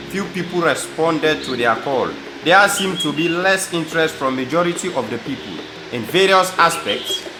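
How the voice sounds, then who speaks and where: A man with a Sierra Leonean accent narrating a scripted audio drama. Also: medium pitch. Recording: echoey room. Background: music.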